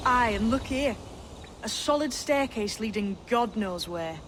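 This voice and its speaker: A woman's voice: on the high side, expressive, unhurried, very noisy. A woman playing a shrewd, enigmatic young pirate in a game, talking in a knowing, informal seafaring way. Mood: knowing, coaxing. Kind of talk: game cutscene drama acting.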